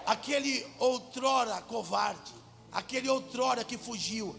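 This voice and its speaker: A Brazilian Portuguese-speaking male pastor preaching fervently, swinging between shouted lines and quiet asides in a large church. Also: extremely high pitch, unhurried, gravelly. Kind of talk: preaching a sermon. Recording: hall reverb with faint music.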